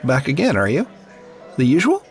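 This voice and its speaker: Very animated gravelly tavern keeper. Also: male voice, medium pitch, moderate pace, faint music behind, some chatter behind. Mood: welcoming, curious. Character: friendly, attentive male tavern keeper. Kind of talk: full-cast fantasy audio drama acting.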